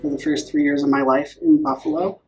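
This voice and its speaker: A young man, a college junior raised in Boston, introducing himself deliberately. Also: a little high, wildly swooping pitch, creaky, darkish tone, soft background music, low-quality recording. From Boston, USA. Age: young adult. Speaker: student interviewee.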